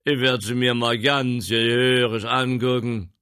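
Guy doing a big gruff bossy old grain trader, loud and pushy, barking orders, dry close sound. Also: mid-range voice, fairly even intonation, unhurried, no echo, pitched above the performer's own voice. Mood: blustering. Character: domineering older male grain dealer, booming and gruff.

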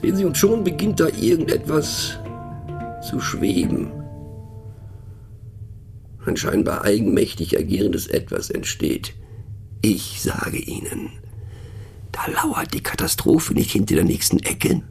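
An actor playing a musing, mocking train passenger in a radio play, talking ponderously with hushed asides and a creaky voice. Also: male voice, fairly high pitch, huge pitch swings, measured pace, pauses often, faint music behind, full character voice. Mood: irritated, mocking.

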